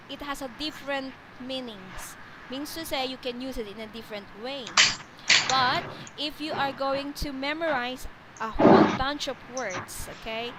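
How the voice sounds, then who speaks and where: Filipino woman, online English tutor, high voice, patient and repetitive, noisy call line. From Philippines. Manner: patient, explanatory, often repeats and rephrases. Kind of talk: online one-on-one English lesson. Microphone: video call.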